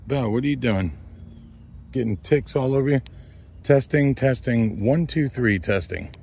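A man's voice: mid-range voice, expressive, moderate pace, creaky, a little mellow, slightly rough. A man chatting casually and rambling as he vlogs outdoors, trying out handheld radios with short test calls. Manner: rambling, talks to his dog. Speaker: vlogger testing handheld radios. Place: outdoors in a grassy field by woods.

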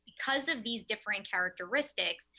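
A woman's voice: mid-range voice, expressive, brisk, sharp tone. A young woman giving a prepared keynote lecture to teachers from her office, earnest, with some fillers. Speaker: keynote speaker. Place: office with window blinds, indoors. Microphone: webcam at desk.

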